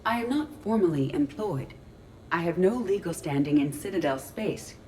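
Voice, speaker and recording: An actress playing a curious, literal ship's artificial intelligence in a video game, speaking precisely and formally with a deadpan delivery. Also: female voice, on the low side, normal speed, a little hiss or hum, close to an everyday voice. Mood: measured, curious. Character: female ship artificial intelligence in android body, curious, literal, earnest. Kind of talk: video game dialogue acting.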